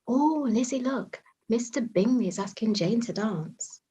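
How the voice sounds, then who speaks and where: A woman plays a young gentlewoman in a period play, observant and fond of gossip. Her voice is on the high side and husky with breath. She speaks as if confiding in a friend. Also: lively intonation, a little slow, full character voice. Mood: gossipy. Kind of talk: theatrical staged reading of a period play.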